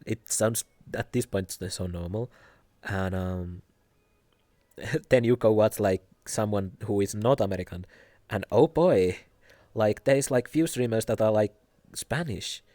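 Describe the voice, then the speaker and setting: A young man with a Finnish accent chatting casually on a live stream, soft-spoken and giggly, hesitating often. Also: on the low side, unhurried, no echo, studio quality. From Finland.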